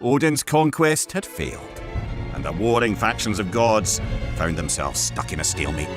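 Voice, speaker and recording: A man's voice: on the low side, big pitch swings, moderate pace. A man narrating a scripted mythological tale in a theatrical, emphatic, dramatic storytelling style. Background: background music.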